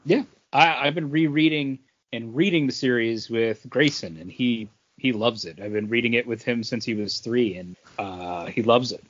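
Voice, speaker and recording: Mid-pitched man on a slightly muffled recording. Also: moderate pace, hardly any pauses, a bit bright. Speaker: podcast co-host. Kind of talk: casual chat about films and comics.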